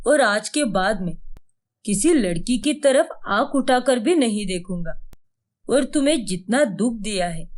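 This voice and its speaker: A woman's voice: on the high side, a little mellow. A woman playing an emotional husband in a dramatized story, speaking in an emphatic, melodramatic way. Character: jealous husband, first scornful, then pleading and remorseful.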